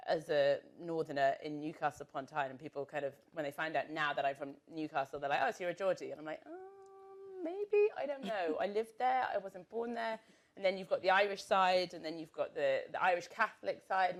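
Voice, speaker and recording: A low-pitched woman from northern England chatting on a live stage panel. She is self-deprecating and laughs through her asides. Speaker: panel guest, writer. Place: stage at a live podcast event.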